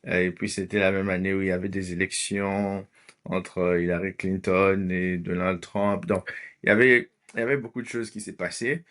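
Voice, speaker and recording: Hesitant Congolese man, lowish voice. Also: fairly even intonation, moderate pace, a bit bright. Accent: Congolese French. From Democratic Republic of the Congo. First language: Lingala. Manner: hesitant, many fillers, drops in English words. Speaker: podcast guest. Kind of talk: casual interview talk.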